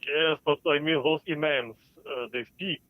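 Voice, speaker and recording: A man from Albania with an Albanian accent calling in to a show, speaking in a hesitant, halting way. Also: on the high side, fairly even intonation, dry recording. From Albania, Kosovo. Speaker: caller. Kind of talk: phone-in call. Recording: tinny dry phone line.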